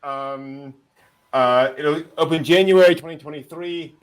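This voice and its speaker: A man's voice: a little high, a little slow, clear, resonant tone, low-quality recording. A man hosting a casual anime news show, chatting and joking with a wry, amused delivery.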